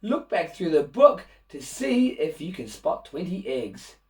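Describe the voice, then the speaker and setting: A man reading a rhyming children's picture book aloud in an expressive, animated way, with asides to young listeners. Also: fairly high pitch, a little slow, distant mic. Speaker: children's storybook reader and host.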